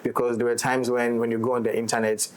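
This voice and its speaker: Ghanaian man talking in an even tone. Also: mid-range voice, fast talker, poor audio. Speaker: audio drama director and writer in discussion. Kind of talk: casual roundtable discussion.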